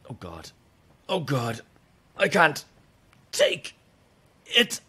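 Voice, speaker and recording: A man's voice: fairly high pitch, wildly swooping pitch, drawn-out words, bright, forceful tone, slight background noise, heavily acted. An actor playing a sly, manipulative man who feigns sickness, wheedling and goading in a horror audio drama. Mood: pained. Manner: wheedling, swinging between groans and goading.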